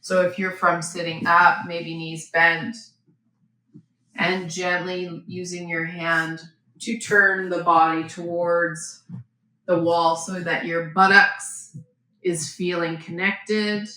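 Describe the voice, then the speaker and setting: Slow-paced woman guiding yoga in an echoey room. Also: fairly low pitch, level tone. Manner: gentle, unhurried, instructional with pauses. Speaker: yoga teacher. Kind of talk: guided yoga and breathing instruction. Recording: very echoey room, faint background noise.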